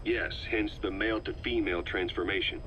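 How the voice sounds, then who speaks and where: A man's voice: lowish voice, fairly even intonation, fairly quick, a bit bright, muffled recording, slight background noise, natural voice. An actor playing a serious middle-aged military commander in a game, questioning tersely as he probes for answers. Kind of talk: investigative dialogue in dramatic game voice acting.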